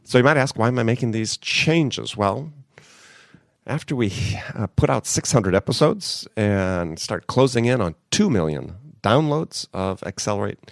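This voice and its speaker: A man's voice: lowish voice, expressive, measured pace, creaky, no room sound, studio quality. A man hosting a podcast in casual, upbeat talk while getting over a cold. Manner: upbeat, conversational. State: has a cold. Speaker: podcast host.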